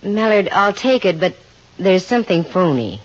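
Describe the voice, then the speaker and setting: A woman's voice: on the low side, sing-song, normal speed. An actress playing a witty, flirtatious female private detective in a 1950s radio drama, with a dry, wisecracking delivery. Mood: wry, shrewd. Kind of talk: 1950s radio drama acting and first-person narration. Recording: muffled, noisy 1950s radio recording.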